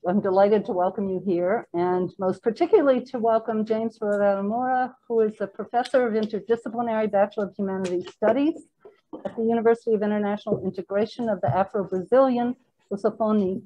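A woman with a Spanish and French accent, co-director of a human rights center, giving a formal, measured welcome and introducing a guest speaker at a hybrid talk. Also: medium pitch, fairly even intonation, dull audio. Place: lecture room at a hybrid event.

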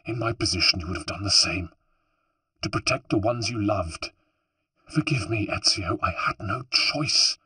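A man voicing a pompous, scheming older male official who talks smoothly and with self-satisfaction. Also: fairly low pitch, moderate pace, pushed and bright, no room sound, exaggerated voice. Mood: pleading.

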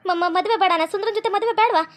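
A woman's voice: very high voice, rapid-fire. A woman playing an anxious young village daughter. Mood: anxious pleading. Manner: pleading, repeats herself anxiously. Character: young village woman pleading with her father. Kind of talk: homemade family drama skit.

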